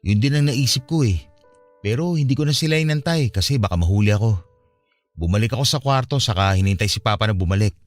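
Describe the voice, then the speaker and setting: A man's voice: lowish voice, expressive, fast talker, no echo, natural voice. A man acting an earnest young man who confides a painful family past in a conversational radio drama style. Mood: confiding, recounting. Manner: conversational, confessional. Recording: music behind the voice.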